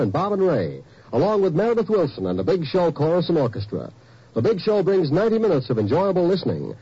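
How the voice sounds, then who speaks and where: A man reading network program promos in a smooth, upbeat announcer delivery. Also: on the high side, warm tone. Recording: dull old radio broadcast.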